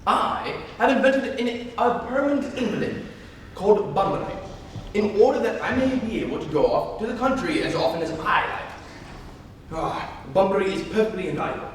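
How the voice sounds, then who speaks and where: Young man playing a flippant high-voiced gentleman, echoey hall. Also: animated, normal speed. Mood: smug. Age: teenager. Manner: arch, theatrical, teasing. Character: flippant, teasing young Victorian gentleman, idle and witty. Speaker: student actor. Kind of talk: dramatic stage reading of a comedy. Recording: distant, very echoey hall, noisy.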